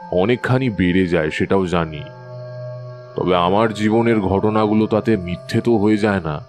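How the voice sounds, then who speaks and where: A man acts out a wise older occult priest for a dramatised story. His voice is low and unhurried in feeling, calm and assured like an elder advising the young. Soft music sits behind it. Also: close to an everyday voice. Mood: calm, reflective. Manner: calm, measured, reassuring, authoritative. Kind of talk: audio drama dialogue. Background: faint background music.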